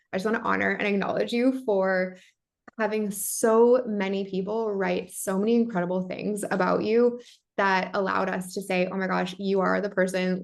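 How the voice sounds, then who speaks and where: A woman's voice: mid-range voice, vocal fry. A woman hosting a podcast, reading a scripted welcome and then interviewing her guest with gushing enthusiasm. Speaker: podcast host.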